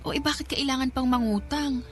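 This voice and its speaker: Radio drama role: actress as dutiful young daughter, Filipino accent, higher voice, respectful, bashful, pleading. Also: female voice, fairly quick, slight background noise, close to an everyday voice. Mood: protesting, concerned.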